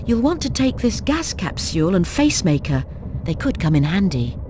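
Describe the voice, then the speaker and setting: An actress playing a brisk, cautioning spy teammate who gives emphatic instructions in a video game. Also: female voice, fairly low pitch, sing-song, background noise. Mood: encouraging.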